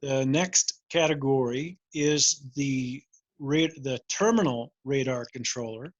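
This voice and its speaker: An older American man giving an informal career talk, explaining and recounting in a measured, reflective way. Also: medium pitch, no echo, dull audio. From Montana, United States. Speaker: air traffic controller, career fair guest speaker.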